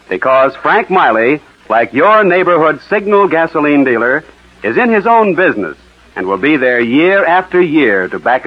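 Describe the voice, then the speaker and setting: An American man announcing for a sponsor on 1940s radio, with a polished, emphatic sales delivery. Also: medium pitch. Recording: dull, muffled 1940s radio recording.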